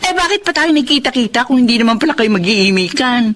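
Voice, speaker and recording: A woman's voice: on the high side, lively intonation, fast talker, a bit bright, a little hiss or hum. A woman acting an outspoken, easily exasperated young best friend in a Filipino radio drama, with a blunt, teasing manner. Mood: worried, scolding.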